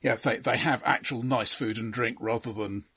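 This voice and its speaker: A man with a husky, slightly rough voice at an average male pitch, in a dry recording. He speaks as a podcast co-host, casual and halting, often doubling back on the first words of a sentence. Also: steady tone, sharp tone, dry recording. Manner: halting, repeats words as he starts. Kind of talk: casual film discussion.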